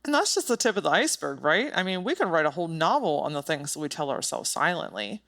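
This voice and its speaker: Lower-pitched woman hosting a motivational podcast. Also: big pitch swings, quick pace, a bit bright. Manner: emphatic, direct, informal with casual swearing. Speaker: podcast host. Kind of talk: motivational solo podcast talk.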